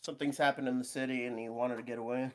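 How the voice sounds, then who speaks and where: A man's voice: medium pitch, little pitch movement, very fast, close to an everyday voice. Leo, a man reading an easygoing young guy. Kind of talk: reading visual novel lines aloud on stream.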